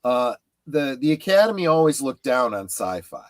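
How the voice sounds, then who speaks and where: A middle-aged man chatting casually about collecting, explaining things in a halting way. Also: medium pitch, sing-song, no echo.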